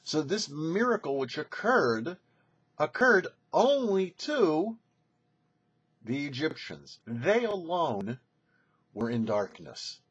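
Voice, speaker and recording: Casting: man, rabbi presenter for scripted religious commentary. Strongly husky voice, lively intonation, explanatory teaching delivery. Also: fairly high pitch, takes it slowly, lo-fi sound. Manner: didactic, poses questions then answers them. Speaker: rabbi hosting a weekly Torah show.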